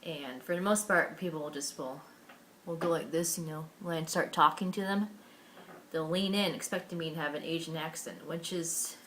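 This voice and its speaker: A young American woman with a low voice tells her own story to camera. She sounds earnest and candid, and she often restarts her sentences and fills pauses with "um" and "you know". A faint hiss runs under the recording. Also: fairly bright tone. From Washington State, USA. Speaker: vlogger.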